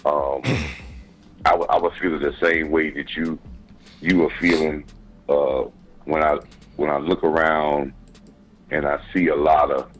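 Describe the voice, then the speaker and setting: Very deep, husky, slow-talking male caller. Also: flat delivery, rough recording. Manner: hesitant, halting, repeats words. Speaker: caller to a sports show. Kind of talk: casual chat.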